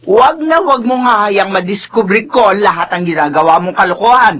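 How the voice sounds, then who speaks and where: Sharp, nagging radio drama mother. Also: mid-range voice, expressive, normal speed. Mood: exasperated, scolding. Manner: scolding, suspicious, sharp. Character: stern, nagging mother scolding her grown daughter.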